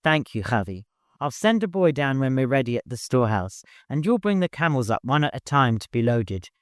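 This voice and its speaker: A man voicing a hurried, no-nonsense master organizing a caravan in an audiobook, courteous but commanding. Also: mid-range voice, big pitch swings, clear, resonant tone, dry recording, clean studio sound, close to an everyday voice. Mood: grateful, instructing.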